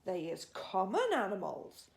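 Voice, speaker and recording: A woman's voice: medium pitch, wildly swooping pitch, unhurried, creaky, heavily acted. A woman voicing a big, friendly old male giant from a children's storybook, speaking emphatically in mangled grammar with invented words. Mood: emphatic. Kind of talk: children's storybook character reading.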